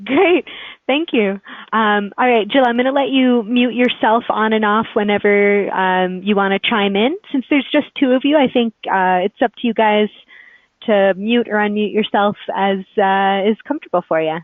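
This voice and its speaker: A woman's voice: mid-range voice, normal speed, no room sound. A woman hosting an online webinar, informally moderating and checking in with speakers. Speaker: webinar host. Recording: thin, dry phone-like call audio.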